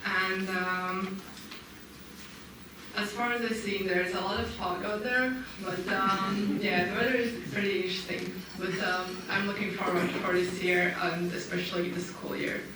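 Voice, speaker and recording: A woman's voice: medium pitch, fairly even intonation, a little slow. A teenage girl from Latvia, an exchange student introducing herself, hesitant, with um fillers. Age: teens. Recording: echoey chamber, distant mic, hum.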